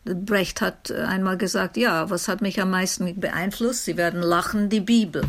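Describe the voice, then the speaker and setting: A woman speaking spontaneously as a literary commentator, thoughtful and halting, often seeking agreement with tag questions. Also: mid-range voice, steady tone.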